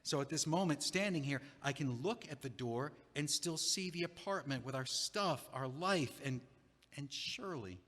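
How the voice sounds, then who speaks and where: A man's voice: a little high, lively intonation, moderate pace, fairly bright tone. An actor in an audio play playing a meek, heartbroken man in his thirties, stammering and apologetic. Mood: wistful. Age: 30s. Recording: echoey, long hallway-like reverb.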